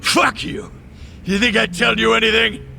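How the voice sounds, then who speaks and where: An actor playing a cornered, low-ranking young gang member, blunt, sarcastic and foul-mouthed, in an English video game dub. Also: male voice, steady tone, normal speed, creaky, sharp tone, a little hiss or hum, heavily acted. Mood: defiant. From Japan.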